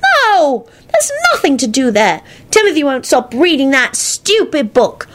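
A woman's voice: high voice, wildly swooping pitch, unhurried, voice pushed up, full character voice. A woman voicing a sulky, cheeky young wizard boy in a storybook, blurting things out in a childish whine. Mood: complaining.

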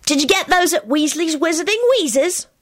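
A woman voicing an excitable, boastful young wizard boy for an audiobook. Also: very high-pitched, moderate pace, no room sound, clean studio sound, pitched above the performer's own voice. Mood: excited. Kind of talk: audiobook character dialogue.

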